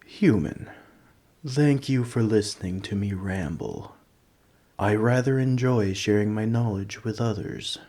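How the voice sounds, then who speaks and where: Man voicing a slow, deep, scholarly old dragon. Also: dark tone. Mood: grateful, gentle. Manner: scholarly, rambling, politely formal. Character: ancient, gentle, nerdy male dragon who collects coins. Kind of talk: scripted ASMR roleplay, speaking to the listener.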